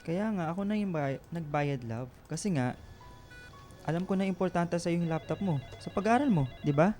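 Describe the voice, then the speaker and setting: High-pitched sweet young boyfriend, acted by a man. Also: moderate pace, a little mellow, soft background music, lightly acted. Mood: worried, placating. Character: devoted young boyfriend, generous, patient, sweet, conciliatory. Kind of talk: radio romance drama acting.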